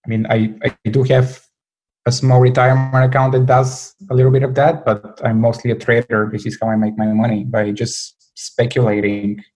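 A man from Bulgaria, a portfolio manager answering interview questions in a hesitant way, with many pauses and restarts. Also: mid-range voice, flat delivery, darkish tone, low-quality recording.

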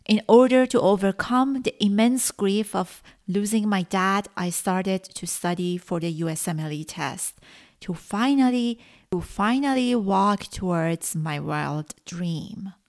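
A middle-aged woman, a native Farsi speaker from Iran, hosting her own podcast and telling her story earnestly with frequent pauses. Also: mid-range voice. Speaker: podcast host. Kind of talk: solo podcast monologue.

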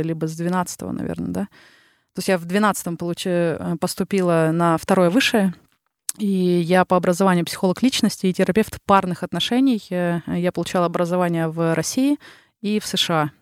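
Hesitant woman in her thirties, smooth voice. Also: on the low side, dry recording, studio quality. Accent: Ural Russian. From Russia, the Urals. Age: 30s. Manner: hesitant, many filler sounds, reflective. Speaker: podcast guest, psychologist. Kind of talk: casual interview talk.

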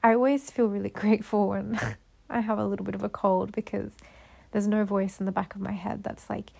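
A woman's voice: mid-range voice, little pitch movement, a little fast, creaky, a little mellow. A young woman chatting casually and upbeat to her viewers, a little sick with a cold. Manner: chatty, rambling, upbeat self-talk. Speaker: vlogger.

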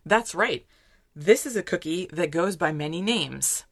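A woman's voice: lowish voice, expressive, measured pace, breathy edge, dry recording, studio quality. A middle-aged woman co-hosting a baking podcast, chatting casually and telling stories, laughing easily. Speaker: podcast co-host. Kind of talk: casual chat about baking.

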